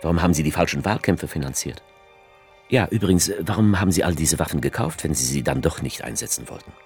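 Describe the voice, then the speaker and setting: An actor playing a brooding, sardonic author who narrates a radio play in the first person. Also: male voice, extremely low pitch, lively intonation, fast talker, vocal fry, darkish tone, slightly rough, soft background music, heavily acted. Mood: accusing, bitter. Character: brooding male narrator-author, sensual, darkly sardonic witness.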